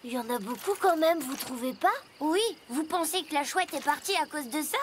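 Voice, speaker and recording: A woman's voice: sits high, brisk, noisy background, close to an everyday voice. A woman voicing an eager, plucky little child truck in a children's cartoon, childlike and exclamatory. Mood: dismayed. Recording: loud music under the voice.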